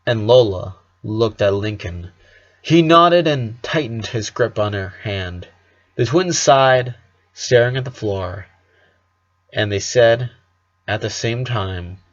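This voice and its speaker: Male story narrator, medium pitch, amateur read with the odd stumble, slightly lo-fi sound. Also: slow pace. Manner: occasional stumbles over words while reading. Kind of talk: fan fiction read aloud.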